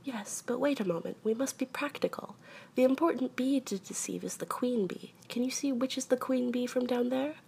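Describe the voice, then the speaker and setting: Woman voicing an earnest, raised-pitch little storybook bear. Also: creaky, darkish tone, a little hiss or hum. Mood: earnest, self-important. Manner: deliberate, earnest, pondering. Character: earnest, anxious, self-important small male toy bear. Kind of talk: children's storybook character voice.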